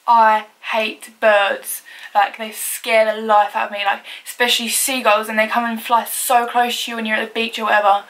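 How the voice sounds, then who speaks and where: A young woman from England chatting casually in a vlog, with a naturally loud voice and lots of "like" and giggles. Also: a little high. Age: young adult. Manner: chatty, uses "like" constantly, giggly. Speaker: vlogger. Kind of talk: casual facts-about-me vlog.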